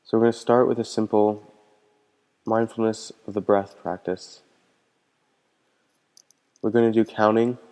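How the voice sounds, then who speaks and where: A man leading a guided meditation as a teacher, speaking in a calm, gentle, unhurried way with pauses. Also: on the low side. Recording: long hall-like reverb.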